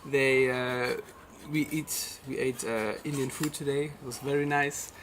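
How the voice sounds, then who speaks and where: A man's voice: medium pitch, fairly even intonation, slow pace, a bit bright. A nineteen-year-old man from Germany introducing himself hesitantly, with shy laughs. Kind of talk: casual self-introduction. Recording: noisy outdoor ambience.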